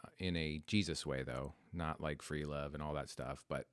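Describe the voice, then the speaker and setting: Podcast host, male, 40s, American. Very deep voice recorded dry, thinking aloud through a personal story. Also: fairly even intonation, moderate pace, clear, resonant tone, dry recording. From United States. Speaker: podcast host telling their life story. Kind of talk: reflective, unscripted personal storytelling.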